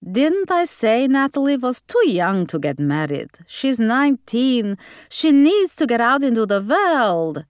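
A woman's voice: a little high, very animated, a little slow, no room sound, studio quality, voice pushed up. A woman voicing an imperious, snappish old grandmother with a Hungarian accent in an audiobook. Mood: firm, urging. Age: older. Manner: snappish, opinionated.

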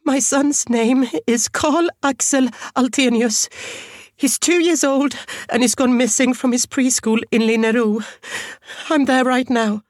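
A woman's voice: high voice, fairly even intonation, measured pace, darkish tone, dry recording, clean studio sound, pitched above the performer's own voice. A woman voicing a frantic, hysterical mother of a missing toddler, crying out and pleading in an audiobook story. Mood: strained, desperate.

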